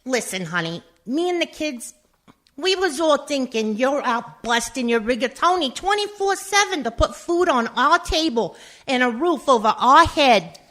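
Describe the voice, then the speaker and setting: A woman's voice: sits high, expressive, normal speed, fairly bright tone. A woman acting a brash, sarcastic mobster's wife and mother in a comedic radio play, with a blunt, scolding manner. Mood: coaxing.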